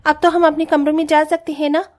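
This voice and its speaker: A woman's voice: high voice, level tone, brisk, close to an everyday voice. A woman voicing a spoiled, haughty young rich daughter-in-law in a cartoon family drama, whiny and defiant. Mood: whiny complaining. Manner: whiny, complaining.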